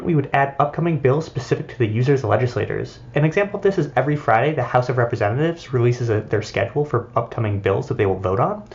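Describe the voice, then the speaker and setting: A man's voice: mid-range voice, dull audio. A man giving a scripted project presentation.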